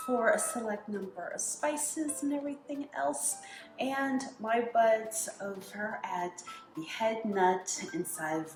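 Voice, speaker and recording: A woman hosts a home cooking show at an unhurried pace. She walks through each step in a chatty, relaxed way. The kitchen sounds roomy and echoey, with music playing under her voice. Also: mid-range voice.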